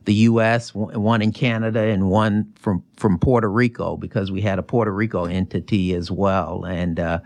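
A middle-aged American man appearing as a guest on an interview show. His voice is fairly low, and he talks informally, often halting and correcting himself. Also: steady tone, a little mellow. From Chicago, United States. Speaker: interview guest, finance executive. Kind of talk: conversational interview answers.

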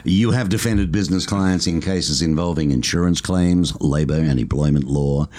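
A man's voice: rumbling low, normal speed, darkish tone. A man hosting a radio interview show, folksy and joking with his guests. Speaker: talk show host.

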